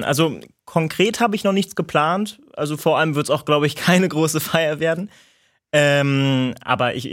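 A man chatting casually as a guest in a tentative, hedging manner. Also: medium pitch. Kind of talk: casual unscripted chat.

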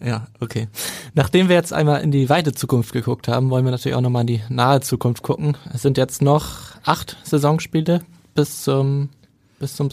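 A male interviewer asks his questions in a relaxed way with a light joking touch. His voice has a strong gravelly fry. The recording is dry and close. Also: on the high side, no room sound.

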